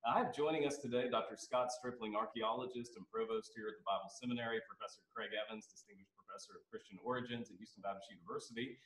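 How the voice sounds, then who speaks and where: A man's voice: lowish voice, fairly even intonation, fast, few pauses, a bit bright. A man, hosting a live radio panel show and addressing listeners. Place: classroom at a seminary, small audience. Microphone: desk microphone on boom arm. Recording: roomy echo, somewhat distant.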